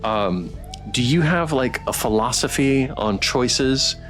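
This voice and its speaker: A man hosting a casual livestream interview, asking questions in a halting, appreciative way. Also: medium pitch, lively intonation, a bit bright, soft background music. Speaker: interviewer and stream host.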